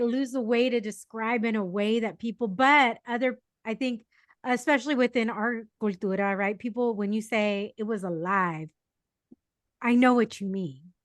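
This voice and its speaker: A woman co-hosting a podcast, chatting casually and telling animated stories with fillers. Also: fairly high pitch, no room sound. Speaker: podcast co-host. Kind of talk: casual chat.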